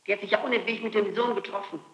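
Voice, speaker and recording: A middle-aged woman answering questions in an interrogation, insistent and flatly contradicting, on an archival tape recording. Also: medium pitch, racing, creaky, roomy sound, low-quality recording. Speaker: suspect under interrogation.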